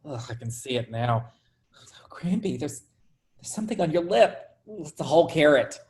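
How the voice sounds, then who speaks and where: A woman's voice: deep voice, very animated, moderate pace, darkish tone. A woman in a staged play reading, playing an oversharing, oblivious, squeamish office worker who rambles matter-of-factly. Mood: fussy, rambling.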